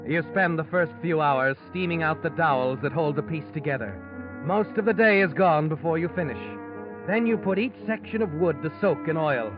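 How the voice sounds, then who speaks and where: Man acting a haunting radio mystery narrator over loud music. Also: on the high side, lively intonation, natural voice. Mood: ominous, pressing. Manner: haunting, knowing, deliberate dramatic delivery. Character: omniscient sinister male narrator taunting the guilty protagonist. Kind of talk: 1940s radio mystery narration in second person. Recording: muffled old radio recording with loud music.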